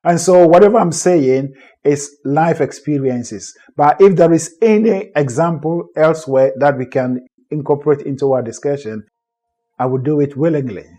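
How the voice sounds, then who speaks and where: A man's voice: a little high, normal speed, dark tone. A middle-aged man hosting a motivational talk, earnest and preacherly, often repeating and rephrasing his points. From Ghana. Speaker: host and co-founder of a mindset group. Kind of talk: motivational lecture to camera.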